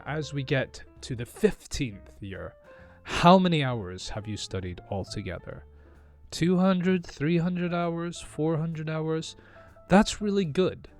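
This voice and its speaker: A man with a mild Scottish accent, teaching English in a calm, careful, deliberate monologue. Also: medium pitch. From northeast Scotland. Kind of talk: slow, clear teaching monologue.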